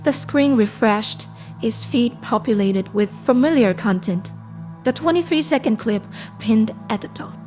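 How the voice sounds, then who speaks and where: Woman as a calm, impassive AI storyteller. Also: on the high side, unhurried. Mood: somber, measured. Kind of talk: scripted first-person audio drama narration. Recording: cinematic music behind. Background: cinematic score.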